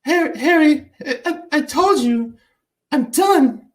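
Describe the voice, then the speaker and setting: Guy doing a heartbroken princess wife, slow, stammery, dramatic. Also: extremely high pitch, expressive, full character voice. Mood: pleading. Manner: wounded melodramatic pleading, stammering. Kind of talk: comedy sketch.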